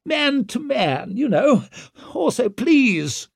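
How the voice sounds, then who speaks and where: Shrill, whining, desperate nobleman. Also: sing-song, slow, vocal fry, husky, dry recording, studio quality, heavily acted. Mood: desperate wheedling. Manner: wheedling, melodramatic, indignant. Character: whining, desperate, self-pitying accused nobleman, blustering and shrill.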